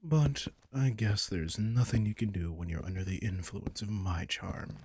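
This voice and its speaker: A man playing a possessive, sardonic vampire king in an intimate roleplay audio drama, teasing and condescending with a husky, breathy edge. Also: lowish voice, moderate pace, noisy background, close to an everyday voice. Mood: smug. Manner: teasing, condescending, casually profane.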